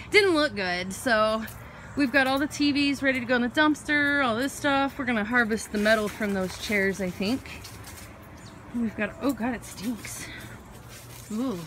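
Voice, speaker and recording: A young woman, her voice a little high, chats excitedly as she films a house she is fixing up. She jumps from one thing to the next and talks into a handheld phone. A faint hiss sits under the recording. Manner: chatty, excitable, jumps between topics. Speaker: vlogger renovating a house. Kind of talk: casual walkthrough vlog. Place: empty house and yard, indoors and outdoors. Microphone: phone held while filming.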